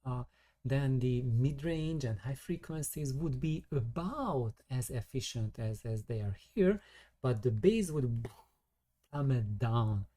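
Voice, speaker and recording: A man with a soft-edged, mellow voice at a middling pitch talks through technical matters informally. He often repeats words and circles back as he builds a point, dropping in colourful, mildly salty slang. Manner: hesitant, repetitive, circling phrasing. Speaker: audio hobbyist presenter.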